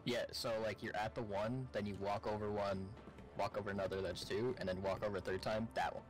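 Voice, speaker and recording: A man's voice: lowish voice, fairly even intonation, brisk, over music, poor audio. A man chatting casually during a co-op game, giving his partner step-by-step directions. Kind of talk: casual gameplay chat.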